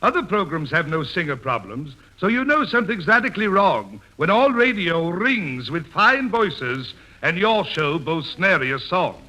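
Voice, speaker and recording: High-voiced pompous hammy poet. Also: male voice, sing-song, normal speed, hardly any pauses, sharp tone. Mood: declaiming. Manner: grandiloquent, declamatory. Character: pompous hammy poet reciting corny verse. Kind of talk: 1940s radio comedy acting. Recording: noisy 1940s radio broadcast.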